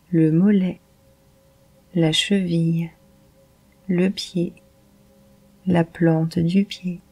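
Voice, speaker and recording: Slow-paced woman guiding meditation. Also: lowish voice, husky, rough recording. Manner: calm, measured, soothing instruction. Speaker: meditation guide. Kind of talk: guided yoga nidra meditation.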